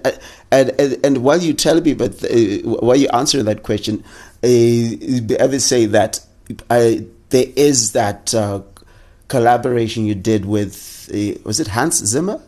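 A man's voice: mid-range voice, a little mellow. Hesitant male podcast host asking questions. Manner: hesitant, halting with many fillers and restarts. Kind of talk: interview questions.

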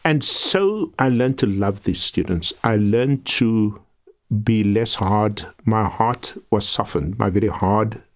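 A man's voice: fairly low pitch, lively intonation, slow. A middle-aged South African man, a guest professor, telling his story in a reflective, earnest way with frequent restarts. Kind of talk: podcast interview.

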